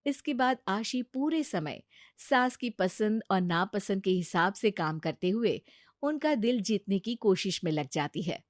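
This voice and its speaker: A woman doing scripted narration for a cartoon story, with an even storytelling delivery. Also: mid-range voice, unhurried, no echo, clean studio sound.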